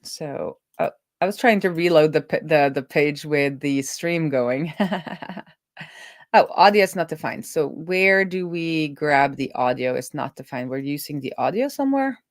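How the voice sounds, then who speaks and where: A woman with a low voice gives a casual coding commentary. She talks through each step as if to herself, in the manner of a developer on a live stream. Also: lively intonation, unhurried. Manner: thinking aloud, talking through steps to herself.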